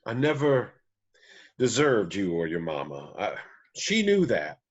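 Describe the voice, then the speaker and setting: A man acts a regretful country musician father with a husky voice. He stumbles over his apologies, humble and sorry, with a lively, swinging pitch. The table-read recording is dull. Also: full character voice. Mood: contrite, pleading. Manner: halting, contrite. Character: remorseful absent father, former drunk, Southern country musician.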